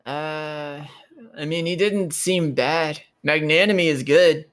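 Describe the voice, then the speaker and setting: A woman's voice: deep voice, unhurried. A woman playing a tabletop roleplay, chatting casually in character in a blunt, sardonic, sweary way. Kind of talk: casual, improvised in-character chat.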